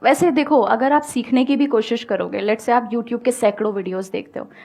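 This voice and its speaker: A young woman with a fairly high voice gives advice from a stage. She speaks calmly and coaxingly, leaning on everyday examples to make her points. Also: moderate pace, gravelly. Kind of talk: motivational talk and audience Q&A. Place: stage before a live audience.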